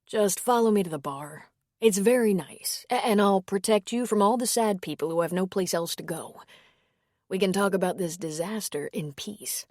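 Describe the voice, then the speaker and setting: A woman voicing a gentle middle-aged man, an earnest former priest, in a warm, softly persuasive read. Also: medium pitch, moderate pace, no room sound, studio quality, lightly acted. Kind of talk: audiobook character reading.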